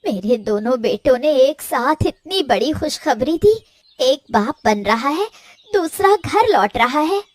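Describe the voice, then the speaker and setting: Woman acting high-voiced, emotive cartoon family woman. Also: expressive, takes it slowly, no room sound, studio quality. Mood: happy.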